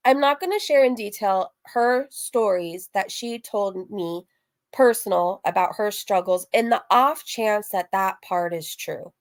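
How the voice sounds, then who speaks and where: A woman's voice: medium pitch, measured pace. A woman hosting a casual reaction livestream, chatty and gossipy, repeating phrases for emphasis as she comments and reads out messages. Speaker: online reaction channel host.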